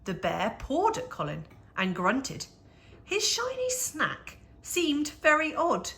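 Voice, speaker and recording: A woman reads a children's picture book aloud in a bright, clear-cut tone. Her pace is slow and measured. She delivers the rhyming verse expressively, leaning on key words for drama. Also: high voice. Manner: measured, animated storytelling with dramatic emphasis. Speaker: children's story reader.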